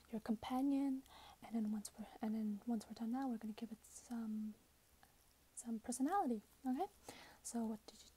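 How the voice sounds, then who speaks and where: A woman in her twenties speaking softly and breathily, often in a whisper, in a casual ASMR roleplay as a shop assistant chatting with a customer. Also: a little high, husky, poor audio. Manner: casual, conversational, hesitant pacing as if chatting with a customer. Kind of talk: soft-spoken ASMR roleplay. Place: small plain room indoors.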